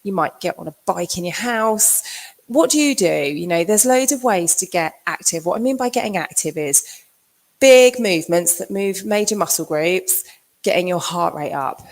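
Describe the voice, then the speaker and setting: A middle-aged woman, husky from a cold, giving a chatty live-streamed talk as a fitness coach, with lots of ums. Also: lowish voice, expressive. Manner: chatty, fills pauses with um. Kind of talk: casual livestream talk.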